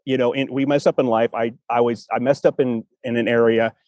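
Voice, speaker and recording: A man's voice: mid-range voice, steady tone, fairly quick, darkish tone, no echo, dull audio. A man hosting a casual conversation, telling personal stories in an earnest, emotive way. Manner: earnest and emotive, acts out remembered dialogue. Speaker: podcast host and audio drama writer.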